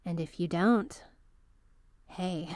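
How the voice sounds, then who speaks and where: A woman's voice: on the low side, takes it slowly, a little mellow, no room sound. A woman voicing a yandere veteran magical girl, an obsessive, lovestruck teacher, in an ASMR roleplay audio drama with a coaxing, confiding manner. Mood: pleading, possessive.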